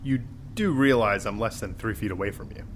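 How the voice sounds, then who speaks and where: A man's voice: on the low side, a little fast, slight background noise, natural voice. A man playing a casual, teasing but sympathetic armed guard, speaking bluntly and informally in an audio drama. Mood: teasing, reassuring. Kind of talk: scripted audio drama dialogue.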